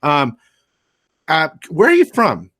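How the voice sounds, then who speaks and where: A man hosting a casual interview, asking questions in a relaxed, joking way. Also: on the high side, slow, no room sound. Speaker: interview host.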